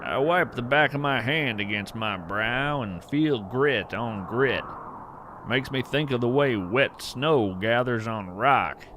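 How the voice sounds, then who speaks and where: Slow-paced man playing a wondering wandering naturalist. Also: medium pitch, background noise. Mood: resigned, observant. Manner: measured, poetic, hushed storytelling with deliberate pauses. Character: earnest, wonder-struck wandering naturalist of hidden creatures. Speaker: podcast host narrator in character. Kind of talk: scripted first-person audio fiction narration.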